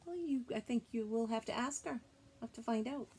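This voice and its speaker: A woman teacher reading a children's picture book aloud expressively, pausing to explain things gently and simply to young listeners. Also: on the high side, breathy edge.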